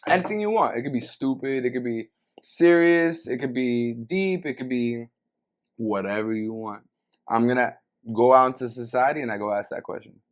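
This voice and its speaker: A man's voice: mid-range voice, moderate pace. A young man from Venezuela hosting a podcast, talking in a laid-back, slangy way and thinking aloud with fillers. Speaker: podcast host. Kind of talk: casual chat.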